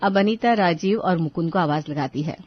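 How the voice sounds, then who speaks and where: A woman's voice: on the low side, normal speed, no room sound, highs cut off. A woman narrating a scripted moral story as a storyteller.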